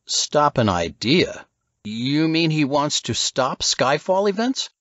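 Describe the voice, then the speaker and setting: Man playing an uneasy questioner, lifted, swooping pitch. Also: very animated, slow, a bit bright, no room sound, lo-fi sound, full character voice. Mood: questioning, uneasy. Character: uneasy, questioning male colleague. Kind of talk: audiobook character dialogue.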